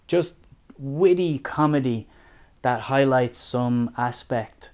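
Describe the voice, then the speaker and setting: A young adult man with a Dublin accent, talking through a book review in a measured, thoughtful way. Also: mid-range voice, a little mellow. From Ireland. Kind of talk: casual spoken review.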